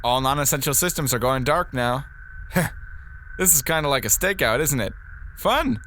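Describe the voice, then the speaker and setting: A man playing a composed, genial space officer in an audio drama, calm, solicitous and wry. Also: mid-range voice, moderate pace, background noise, close to an everyday voice. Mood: amused.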